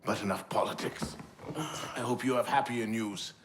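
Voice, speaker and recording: A man's voice: mid-range voice, normal speed, a little hiss or hum, lightly acted. A man playing a weary older mentor of a secret brotherhood in a video game, speaking in a dry, sardonic, formal way. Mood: grave. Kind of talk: cinematic video game acting.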